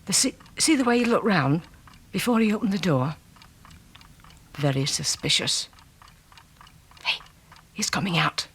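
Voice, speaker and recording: Husky, bossy busybody wife. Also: female voice, lowish voice, expressive, a little slow, long pauses, fairly bright tone, full character voice. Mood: suspicious, excited. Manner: emphatic, melodramatic. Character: nosy, bossy, nagging wife, a self-righteous busybody. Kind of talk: 1970s radio play acting.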